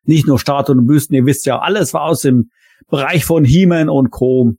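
A man hosts a podcast in a conversational way. His voice is dark and husky, of middling pitch, and recorded very dry. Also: moderate pace, no room sound. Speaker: podcast host. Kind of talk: conversational moderating.

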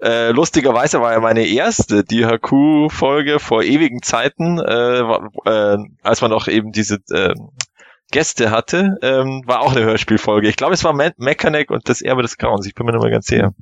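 A man chatting casually as a guest on a podcast, with a halting, hesitant way of talking. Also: medium pitch, dry recording, dull audio.

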